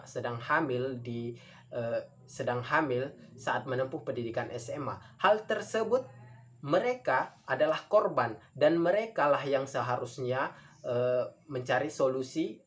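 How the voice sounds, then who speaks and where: A man gives a formal debate speech as a student speaker, earnest and halting, often repeating phrases for emphasis. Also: medium pitch.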